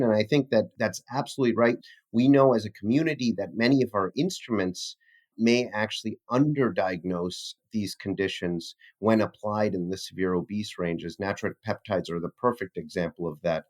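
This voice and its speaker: A man with a fairly low voice speaks as a physician researcher being interviewed. He is articulate and formal, using technical language in a discussion style. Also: brisk.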